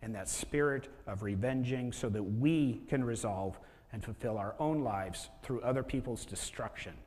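A man's voice: medium pitch, moderate pace, a little mellow. Man preaching in a reverberant church. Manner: conversational preaching, rhetorical questions, acted-out inner voice. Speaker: pastor. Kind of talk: sermon. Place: church sanctuary with a stage. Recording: long reverb of a church hall.

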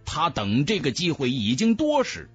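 A man's voice: a little high, huge pitch swings, normal speed, slightly rough, soft background music. A man narrating a fantasy novel as a scripted audiobook, with emphatic, dramatic storytelling.

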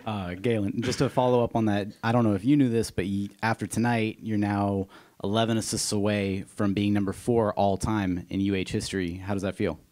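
A man reporting on sports asks a player a conversational follow-up question at a press conference. His voice is fairly low. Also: fairly even intonation, brisk, darkish tone.

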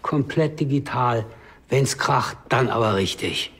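An actor playing a proud cinema owner, husky and boastfully emphatic. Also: male voice, medium pitch, unhurried, fairly bright tone.

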